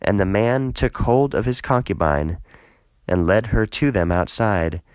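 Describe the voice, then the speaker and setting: Deep-voiced man reading scripture, dry recording. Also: unhurried, clean tone, no echo. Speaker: audiobook scripture reader. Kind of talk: scripted narration, Bible reading.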